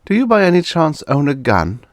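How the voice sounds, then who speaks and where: A man performs a cheerfully callous businessman in an audiobook tale. His delivery is airy and commanding, lifted in pitch with lively swings, and the sound is dry and close. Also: moderate pace, no echo. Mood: breezy, commanding. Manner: chummy, airy, casually commanding. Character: smug, cheerfully callous scheming businessman narrator.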